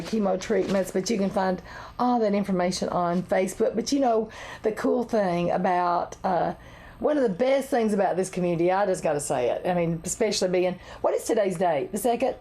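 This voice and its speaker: Woman host with a raspy voice, mellow tone, chatty local TV style. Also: medium pitch. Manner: chatty, laughs often. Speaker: chamber of commerce talk show host. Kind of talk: casual local TV chat. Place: local TV studio.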